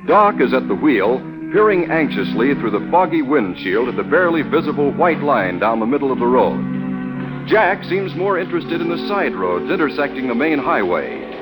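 Male narrator for a vintage radio adventure: dramatic, highly animated, raised pitch, old recording under loud music. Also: normal speed, low-quality recording. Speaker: announcer and narrator.

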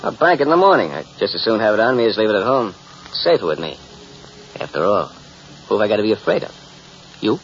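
Tough gambler, American, cocky, slangy, flirtatious, vintage radio drama, dull recording, faint noise. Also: male voice, on the low side, sing-song, fast, long pauses. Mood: boastful, breezy. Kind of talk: 1940s-50s radio drama acting.

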